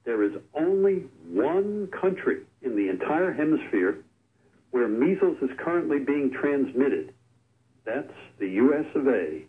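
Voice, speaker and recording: A man explaining steadily as a medical expert calling into a radio show, heard over the telephone. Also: mid-range voice. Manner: measured, explanatory. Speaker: guest vaccine expert on phone. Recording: tinny phone line on radio.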